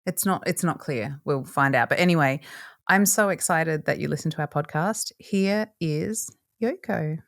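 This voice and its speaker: A woman's voice: sits low, normal speed, vocal fry. A woman, co-hosting a podcast in casual chat, bubbly and joking, often laughing. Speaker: podcast co-host.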